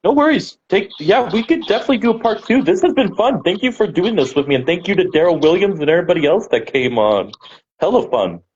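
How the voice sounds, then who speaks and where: A man's voice: high-pitched, sing-song, fast, darkish tone, breathy edge. A man hosting a casual chat show and talking through past matches in an easygoing way. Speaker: podcast host. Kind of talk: casual chat about wrestling. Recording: dull lo-fi sound with faint noise.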